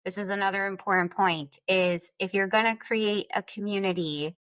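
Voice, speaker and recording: A woman's voice: mid-range voice, fairly even intonation, normal speed, a bit bright, clear, resonant tone, no room sound. Woman giving conversational, emphatic advice. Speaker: podcast guest, influencer marketer.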